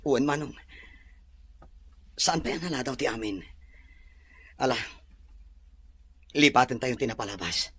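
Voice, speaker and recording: A man plays a forgiving Filipino younger brother and devoted fiancé in an audio drama. His medium-pitched voice is husky. He speaks earnestly, in a reassuring way. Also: measured pace, pauses often, fairly bright tone.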